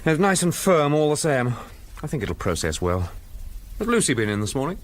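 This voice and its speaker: An actor playing a grumbling middle-aged British factory boss in a radio drama, muttering in a clipped, matter-of-fact way. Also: male voice, on the high side, big pitch swings, fairly quick. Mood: businesslike.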